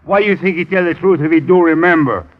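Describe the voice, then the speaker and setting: An actor playing a dismissive, suspicious Nicaraguan police sergeant with a Spanish accent and broken English in an old-time radio crime drama. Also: male voice, a little high, a little fast, lightly acted. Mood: scornful, dismissive. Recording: muffled vintage radio recording.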